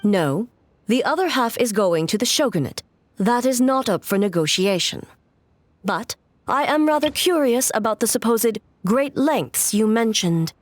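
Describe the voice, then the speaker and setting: A woman's voice: a little high, expressive, dry recording. A stately, stern female ruler. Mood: firm, composed. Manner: formal, measured, composed. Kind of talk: video game voice acting.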